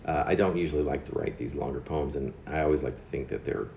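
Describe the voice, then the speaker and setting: A man in his fifties reading his own confessional poem aloud, in a halting way with pauses mid-phrase and stressed words. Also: a bass voice, creaky, a little mellow, a little hiss or hum. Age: 50s. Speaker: poet. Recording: dull lo-fi recording in echoey room.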